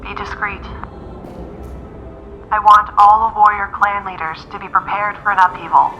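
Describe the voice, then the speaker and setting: A woman's voice: mid-range voice, steady tone, normal speed, bright, forceful tone, highs cut off, soft background music. A woman acting a grave, commanding female warrior leader in an audio drama.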